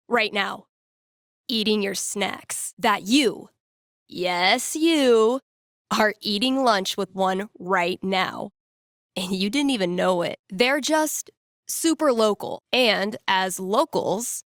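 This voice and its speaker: A woman's voice: a little high, fairly bright tone, no room sound, clean studio sound. An actress voicing a wry, playful young woman who hosts small-town radio in a video game, with a casual, irreverent, conversational delivery. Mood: dryly joking. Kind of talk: casual, jokey radio ad reads and call-in chat.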